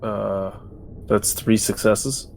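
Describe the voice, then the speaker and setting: A man's voice: fairly low pitch, steady tone, drawn-out words, gravelly, a little hiss or hum. A man chatting casually as a player in a tabletop role-playing game.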